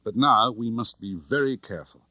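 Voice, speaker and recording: A man's voice: on the low side, moderate pace, bright, forceful tone, no echo. A man voicing a cool, protective British secret agent in an audiobook, clipped, controlled and matter-of-fact. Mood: cautious, reassuring.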